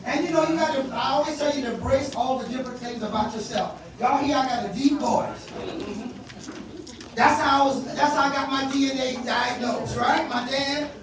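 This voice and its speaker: Deep-voiced woman comedian, live. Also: steady tone, moderate pace, far from the mic. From South Carolina. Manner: loud, punchy, crowd-working delivery. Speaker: stand-up comedian. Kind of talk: stand-up comedy set. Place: comedy club stage. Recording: echoey live venue, mic heard from afar, crowd laughter. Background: audience laughing.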